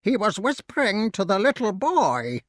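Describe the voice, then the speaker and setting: A storyteller voicing a sneaky tattletale crow with shrill, whining delivery. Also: very animated, moderate pace, no room sound, clean studio sound, close to an everyday voice. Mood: sneaking.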